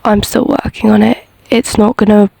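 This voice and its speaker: A woman's voice: medium pitch, flat delivery, normal speed, gravelly. A woman giving a casual, explanatory voiceover as a rider reviewing her own technique in a self-critical way. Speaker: horse rider narrating own lesson footage.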